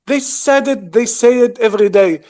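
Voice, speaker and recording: This is a high-pitched man from Lebanon talking as a guest analyst. He speaks haltingly, searching for words and filling pauses with many ums and uhs. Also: dark tone. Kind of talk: casual discussion.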